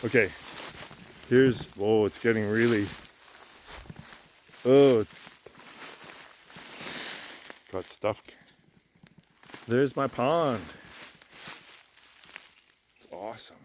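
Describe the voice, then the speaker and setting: A man rambling casually as he walks outdoors, boastful and self-assured, halting and repeating himself as he muses. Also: mid-range voice, darkish tone, a little hiss or hum. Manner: halting, repeats and trails off, musing, swears casually. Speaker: vlogger sharing personal philosophy. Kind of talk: rambling casual monologue while walking. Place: outdoors in woodland by a pond, walking.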